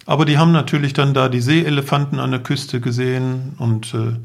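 Man, middle-aged, creaky gravelly voice, medium pitch, casual travel storytelling. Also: steady tone, brisk. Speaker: podcast guest, travelling father.

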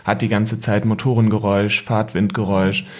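A man's voice: on the low side, little pitch movement, fairly quick. A young adult man, a podcast guest, recalling experiences casually in thoughtful, hesitant phrases. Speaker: podcast guest, son of travelling family. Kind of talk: casual reflective recollection.

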